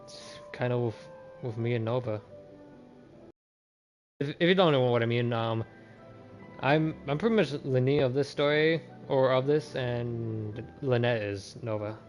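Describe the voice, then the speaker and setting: A man with a mid-range voice talks casually as a game livestreamer. He is informal and halting, repeating words as he comments. Faint game music sits behind his voice. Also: mid-range voice.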